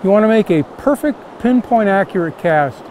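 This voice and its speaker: Older male instructor with a high voice, outdoors in a river on a lapel mic, faint background hum, repeating simple casting steps. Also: expressive, normal speed, a little mellow. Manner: plain, repetitive step-by-step instruction. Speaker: fly fishing instructor.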